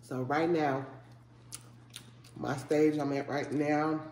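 A woman's voice: deep voice, slow pace. A woman in her forties with a head cold, chatting casually to viewers in an eating video, humming as she eats. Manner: relaxed, chatty, savoring food with hums while eating. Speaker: mukbang vlogger. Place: living room, indoors. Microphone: fixed camera at table. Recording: echoey room with faint noise.

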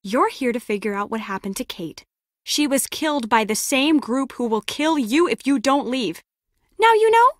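A woman's voice: high voice, expressive, normal speed, dry recording. An actress playing a hard-nosed, sardonic female spy in a video game, with a dry, wry manner. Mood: guarded, wry.

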